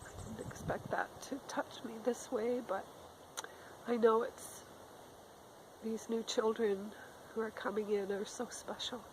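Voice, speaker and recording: A middle-aged woman with a cold, speaking in a raspy, often whispered voice, giving a gentle spiritual talk outdoors in the woods. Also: a little high, fairly even intonation, measured pace, pauses often, background noise. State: has a cold. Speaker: spiritual channeler. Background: faint outdoor ambience with a crow.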